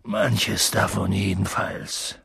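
Reader doing big gruff half-giant guy, rough husky voice, bone dry recording. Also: flat delivery, takes it slowly, no echo, exaggerated voice. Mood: low worried. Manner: gruff, booming, then hushed and worried. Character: huge gruff male half-giant, blustering but kind. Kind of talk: audiobook reading.

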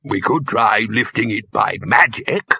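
A man reads a fussy wizard chairman, a shade lower than the reader's own voice and slightly hesitant. The sound is dull and dry. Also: expressive, a little slow, creaky, sharp tone, slightly rough, no echo, heavily acted. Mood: puzzled.

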